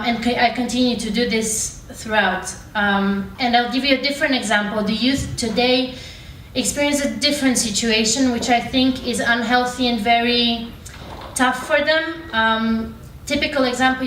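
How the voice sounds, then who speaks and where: Distant, higher-pitched young woman speaking publicly. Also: fairly even intonation, normal speed, fairly bright tone. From Bulgaria. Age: 20s. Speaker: student speaker on a youth panel. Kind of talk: prepared public speech. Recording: roomy, distant mic, background room noise.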